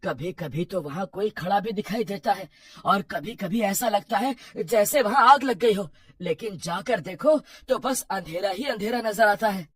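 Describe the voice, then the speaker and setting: A man playing a chatty, spooked night watchman in a horror cartoon, giving animated, deferential warnings. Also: sits high, unhurried, husky, no echo, full character voice. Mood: ominous warning. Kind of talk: horror cartoon story acting.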